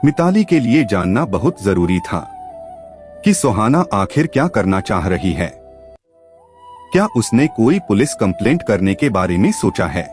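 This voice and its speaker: A man narrating a scripted audio story with a dramatic, expressive delivery. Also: lowish voice, a little slow. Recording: music behind the voice. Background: background music.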